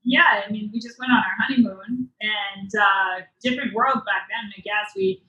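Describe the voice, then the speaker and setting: Bright-voiced woman in a roomy space. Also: mid-range voice, moderate pace, dull audio. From Everett, Massachusetts. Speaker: guest, business co-founder. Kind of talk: casual interview chat.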